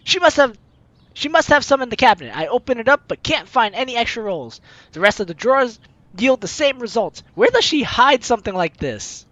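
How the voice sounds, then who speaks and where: A gaming video host reading a visual novel aloud in an animated, theatrical way, adding playful commentary, with game music behind. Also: mid-range voice, a little fast, no room sound, highs cut off. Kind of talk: let's play commentary with dramatized reading of game text.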